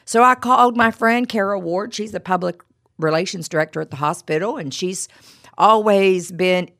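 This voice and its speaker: A woman's voice: medium pitch, normal speed, a bit bright. A middle-aged woman giving a devotional talk with personal stories, her voice froggy from a cold, chatty and full of stammered repeats and "you know".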